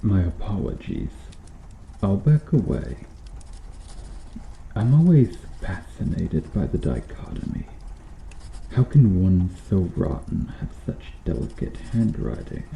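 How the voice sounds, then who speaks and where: A man playing a bitter, sardonic medieval knight in a slow, intimate ASMR roleplay, speaking deliberately with quiet menace. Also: deep voice, big pitch swings, vocal fry, exaggerated voice. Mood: cold mockery. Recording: roomy echo with faint noise.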